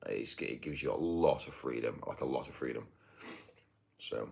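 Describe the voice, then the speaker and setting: Very deep, gravelly British man. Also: darkish tone. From United Kingdom. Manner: halting, mumbly, with many fillers. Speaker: livestreamer. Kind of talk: casual livestream chat. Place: indoors, getting ready to go out.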